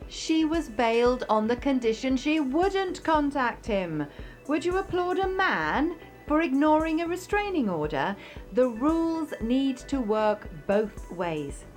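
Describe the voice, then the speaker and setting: An actress playing an earnest, impassioned female support worker, speaking emphatically and deliberately in a dramatised radio interview. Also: high voice, big pitch swings, faint music behind. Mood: firm, arguing.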